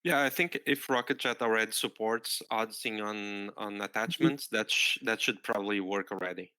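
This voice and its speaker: A man moderating an online project presentation, reading out audience questions in a relaxed way. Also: on the low side, moderate pace, smooth, no echo, rough recording.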